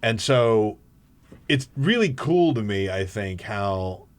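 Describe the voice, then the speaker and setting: A man hosting a talk radio show in a casual, energetic way, joking and laughing often as he interviews a guest. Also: on the low side, takes it slowly. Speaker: fill-in radio show host.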